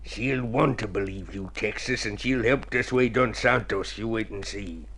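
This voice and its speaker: A man's voice: fairly low pitch, moderate pace, a bit bright, a little hiss or hum. A man playing a sly, persuasive schemer in a radio drama, coaxing and bossy. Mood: coaxing, confident. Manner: coaxing, smooth-talking, bossy. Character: sly, persuasive male swindler coaching an accomplice.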